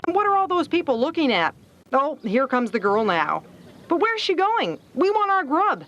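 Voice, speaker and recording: Lively, higher-pitched American woman news reporter. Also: normal speed, highs cut off, noisy background. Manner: playful, wry delivery. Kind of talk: scripted feature news narration.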